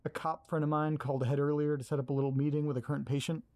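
A man's voice: a little high, level tone, rapid-fire, a little mellow, close to an everyday voice. A man playing a nervous, hesitant young man who apologizes and stumbles over his words in an audio drama. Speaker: lead character.

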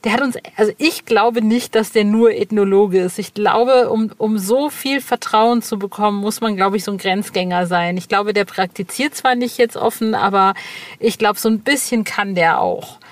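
A woman's voice: mid-range voice, moderate pace, dry recording. A woman hosting a podcast in a casual, slangy, enthusiastic way, rambling and breaking off sentences as she goes. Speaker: podcast host. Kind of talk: casual, chatty podcast talk.

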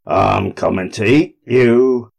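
Man acting a storybook giant, gravelly, booming, drawn-out words, relentless hungry menace. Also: darkish tone, exaggerated voice. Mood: menacing, hungry. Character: menacing, relentless child-eating male giant.

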